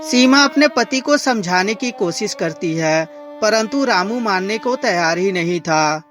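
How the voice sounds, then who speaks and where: A woman's voice: medium pitch, expressive, a little slow, a bit bright. A woman storyteller reading a scripted moral tale as narrator. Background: background music.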